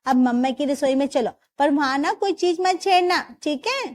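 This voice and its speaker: A woman voicing a gentle young daughter-in-law who speaks softly and sweetly. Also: high-pitched, moderate pace, voice pushed up, lightly acted. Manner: soft, sweet, polite.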